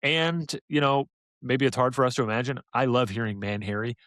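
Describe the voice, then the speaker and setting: A man's voice: medium pitch, brisk, a bit bright, no echo. Man, podcast co-host, casual review chat, enthusiastic and opinionated, dry close sound.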